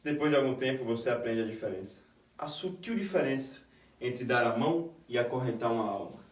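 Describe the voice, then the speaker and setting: A man's voice: medium pitch, expressive, a little fast, slight background noise, close to an everyday voice. A man with a Brazilian accent, an actor reciting a scripted theatrical monologue. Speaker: stage actor. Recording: echoey room, distant microphone.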